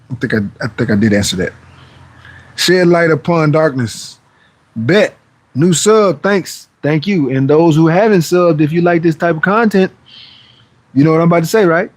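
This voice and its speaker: A man's voice: fairly high pitch, darkish tone, dry recording. A man in his forties hosting a fitness livestream, casually chatting through viewer questions in a laid-back, rambling way. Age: 40s. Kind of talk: casual live-stream chat answering viewer comments.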